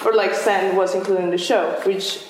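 A woman's voice: mid-range voice, steady tone, normal speed, very echoey, slight background noise, rough recording. A woman from Colombia, an artist and curator, who speaks casually and fills her sentences with "like.". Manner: casual, heavy use of "like". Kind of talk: interview conversation.

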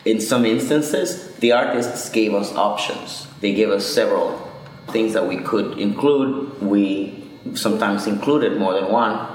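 A man's voice: on the low side, measured pace, heavy reverb, rough recording. A man from Puerto Rico, a curator, who talks about an art show in an academic, thoughtful way and repeats words as he thinks. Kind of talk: interview conversation.

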